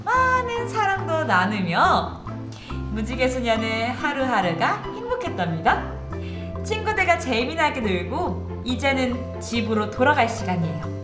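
A woman storyteller narrating for children in an expressive, sing-song way with drawn-out words. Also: a little high. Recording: echoey room with music behind.

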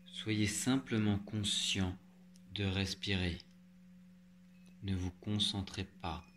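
A man leading a guided yoga relaxation as an instructor, giving calm, measured instructions with long pauses. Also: lowish voice, slight background noise. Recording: loud meditation music with singing bowls. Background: meditative music, singing bowl tones.

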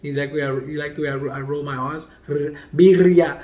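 Casting note: playful man in his forties hosting a home food vlog, slightly high voice, roomy sound. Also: fairly quick, lo-fi sound. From Puerto Rican heritage. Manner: animated, playful, drops to quiet murmurs while tasting. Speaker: food vlogger and comedian host. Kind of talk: casual food review vlog. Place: home dining room indoors. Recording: echoey room.

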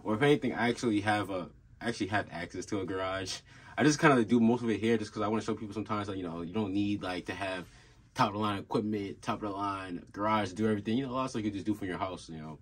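A young man with a low, slightly husky voice talks straight to a desk camera in a small room at home. He rambles in a relaxed, easygoing way, with plenty of fillers and restarts, like he is catching up with his viewers. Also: rapid-fire. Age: young adult. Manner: laid-back, rambling, many fillers and restarts. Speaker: car channel host. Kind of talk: casual vlog update.

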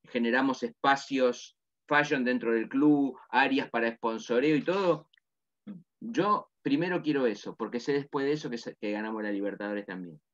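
An older man with an Argentine accent, interviewing over a video call, chatty and animated. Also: medium pitch, moderate pace, muffled recording. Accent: Argentine Spanish. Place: office with bookshelves, indoors. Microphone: webcam mic on a video call.

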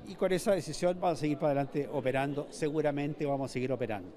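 A man's voice: on the high side, rapid-fire, dark tone. An older man with a Chilean accent, a mall manager answering a reporter's questions in a news interview, often restarting and repeating words. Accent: Chilean Spanish. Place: indoor shopping mall corridor. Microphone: reporter's handheld mic.

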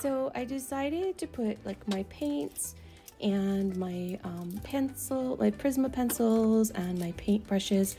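Chatty woman with a gravelly voice. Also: on the high side, lively intonation, slow, music in the background. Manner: chatty, rambling with many fillers. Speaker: doll artist vlogger. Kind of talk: casual voice-over narration. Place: home craft room.

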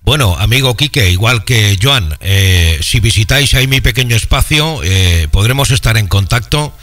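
Male ham operator, fairly deep, explanatory and measured, speaking through an equalized microphone. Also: lively intonation, fairly bright tone. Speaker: amateur radio operator. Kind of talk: casual technical radio chat. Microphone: microphone with preamp, equalizer and bass exciter.

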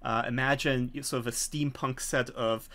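A middle-aged man runs a horror roleplaying game from a room at home. He describes scenes deliberately, with pauses, in a medium-pitched voice. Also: steady tone, fairly bright tone. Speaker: game master. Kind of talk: narrating a tabletop horror game. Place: home room on a video call.

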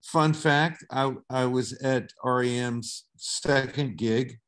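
A man's voice: medium pitch, slow, fairly bright tone. A middle-aged man from the southern United States, a guest on a video call, telling stories in a laid-back way and swearing casually. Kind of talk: casual interview storytelling.

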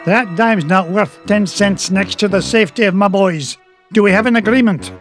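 An actor playing a crusty, determined elderly duck tycoon in a cartoon game, crotchety and emphatic, with a Scottish accent. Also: male voice, high-pitched, normal speed, faint music behind, natural voice. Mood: earnest.

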